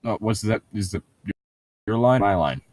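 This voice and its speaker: Irreverent, sweary man with animated pitch. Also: moderate pace, dry recording. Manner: irreverent, sweary, heckles the text. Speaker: co-host of a comedic read-aloud show. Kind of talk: casual banter while reading a novel aloud.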